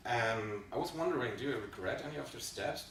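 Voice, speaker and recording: A man's voice: mid-range voice, animated, a little fast, a bit bright. A man interviewing a job applicant, polite and hesitant, with many fillers. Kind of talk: practice job interview questions. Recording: echoey room, distant mic, background noise.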